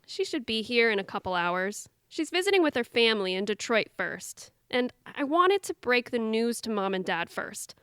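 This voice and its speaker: A woman's voice: on the high side, sing-song, normal speed, few pauses, fairly bright tone, clean tone, dry recording, studio quality. A woman acting in an audio drama as an anxious, self-doubting younger sister who has come home to her family. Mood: nervous, self-doubting.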